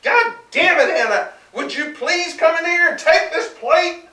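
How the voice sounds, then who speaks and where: A man voicing a loud, demanding, exasperated husband and football fan in a story read live. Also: very high voice, animated, measured pace, pitched above the performer's own voice, full character voice. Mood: furious. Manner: yelling, exasperated. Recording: distant roomy live reading, faint noise.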